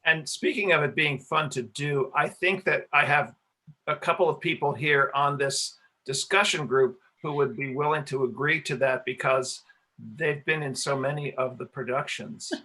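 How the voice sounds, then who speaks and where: A man who writes radio plays, talking casually and haltingly in a group discussion, often repeating words. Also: on the high side, steady tone, pushed and bright, low-quality recording.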